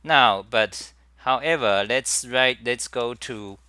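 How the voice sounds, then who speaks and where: A man's voice: mid-range voice, slow pace, pushed and bright, smooth. A middle-aged man, hosting a casual live stream and rambling through his topics with many restarts and fillers. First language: Chinese. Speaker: livestream host.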